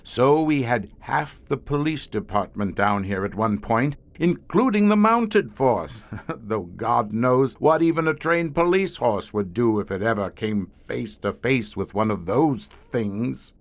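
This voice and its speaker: A male actor plays a grim veteran hunter of monsters in an audio horror drama. His delivery is deliberate and slow, yet it swings widely in pitch. He speaks in ornate, haunted phrases with a dry, wry edge. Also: animated, gravelly. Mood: grave, confiding.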